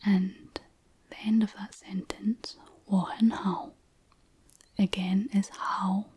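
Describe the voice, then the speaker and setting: Woman whispering chinese lessons, super breathy and slow, close mic. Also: mid-range voice, little pitch movement, no echo. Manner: soft whisper, slow careful pronunciation. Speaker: language tutor, study buddy.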